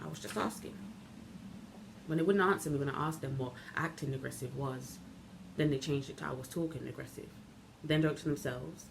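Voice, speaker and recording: A woman performing a dramatic monologue as a bitter, wronged young woman recounting events in a deliberate, halting way with emphatic repetition. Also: deep voice, creaky. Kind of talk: dramatic stage monologue, self-taped. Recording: noticeable background noise.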